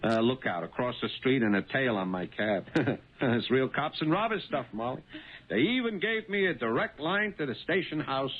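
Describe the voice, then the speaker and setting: An actor playing an uneasy, honest working-class cab driver in a 1970s radio drama. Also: male voice, mid-range voice, animated, moderate pace, lightly acted. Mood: eager. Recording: tinny, band-limited 1970s radio broadcast.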